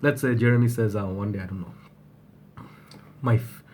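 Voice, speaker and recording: A man with a flu-husky voice, talking casually to his viewers and often rambling and restarting. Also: on the low side, moderate pace, a little mellow, a little hiss or hum. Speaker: fragrance reviewer. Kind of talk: casual vlog talk to camera.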